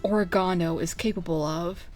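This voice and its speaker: A woman voicing a stern, formal male wolf warrior, the eldest brother, in a measured and commanding manner. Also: fairly low pitch, fairly even intonation, over loud music, close to an everyday voice. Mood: businesslike.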